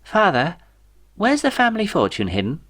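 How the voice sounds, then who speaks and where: A man voicing a timid, dim, eager young son of an evil overlord, earnest and halting. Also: sits high, wildly swooping pitch, fairly bright tone, voice pushed up, full character voice.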